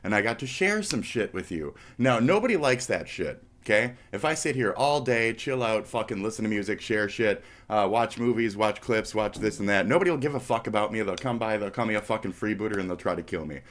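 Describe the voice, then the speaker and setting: A stuffy-nosed man hosts his live stream in a loose, profane ramble. His voice sits a touch low and congested, and he drifts into half-rapped riffs between swear words. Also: expressive, fairly quick, few pauses, a bit bright. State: stuffy, snotty nose. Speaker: streamer host. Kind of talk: casual live-stream rant.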